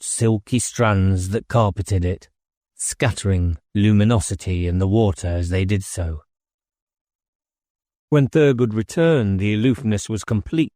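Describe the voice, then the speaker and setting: A man narrates a novel as an audiobook reader. His voice sits somewhat low. The sound is very dry, with no room around it, and the delivery is scripted storytelling. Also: dark tone, no room sound.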